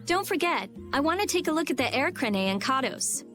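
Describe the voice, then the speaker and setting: A woman's voice: high-pitched, very animated, a little fast, a bit bright, faint music behind. A woman playing a pert, practical young girl in a video game, offhand and businesslike. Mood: brisk. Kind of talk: video game cutscene voice acting.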